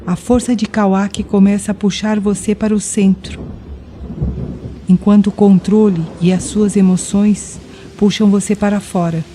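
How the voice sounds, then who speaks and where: A Brazilian woman narrating a guided meditation in a deliberate, soothing way, leaving pauses between phrases. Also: mid-range voice, flat delivery, warm tone, a little hiss or hum. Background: music with rain and thunder sounds.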